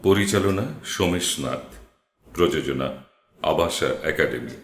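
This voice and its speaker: Male announcer, very deep bass, scripted title read, echoing room. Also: a little slow. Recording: roomy echo.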